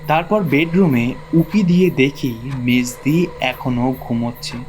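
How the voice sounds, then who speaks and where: A man's voice: a little high, unhurried, darkish tone, a little hiss or hum. A man narrating a first-person ghost story from a script in a suspenseful, dramatic storytelling manner.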